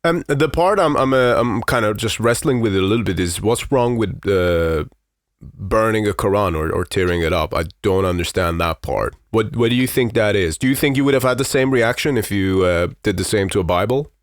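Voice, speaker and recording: A man from Iran, a native Farsi speaker, hosting a podcast interview and talking bluntly, with halting fillers. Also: fairly low pitch, lively intonation, no room sound, studio quality. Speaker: podcast host. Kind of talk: casual interview chat.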